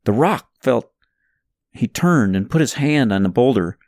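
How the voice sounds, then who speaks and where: A man narrating a story from a script in an expressive, suspenseful, dramatic way. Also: medium pitch, a little slow, dry recording, studio quality. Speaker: audiobook narrator reading own novel.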